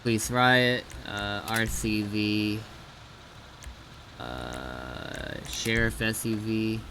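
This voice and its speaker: A man's voice: fairly low pitch, very slow, noisy background. A man giving casual gameplay commentary as a streamer, muttering to himself as he thinks aloud. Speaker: gaming streamer.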